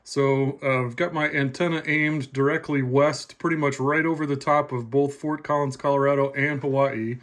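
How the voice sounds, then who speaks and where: A man's voice: medium pitch, steady tone, moderate pace, few pauses, fairly bright tone. A man giving a casual, plainspoken explanation to viewers, like a hobbyist presenting a demonstration.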